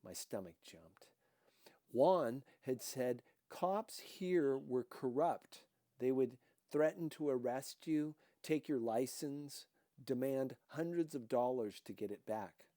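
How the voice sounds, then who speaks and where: A man reading a first-person novel aloud as an audiobook, with deliberate, dramatic expression. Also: mid-range voice, animated, dark tone, dry recording, studio quality. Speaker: audiobook reader.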